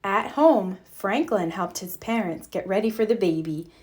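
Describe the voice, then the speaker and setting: A woman narrating a children's picture book aloud, gentle and expressive. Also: mid-range voice, moderate pace. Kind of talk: read-aloud storybook narration.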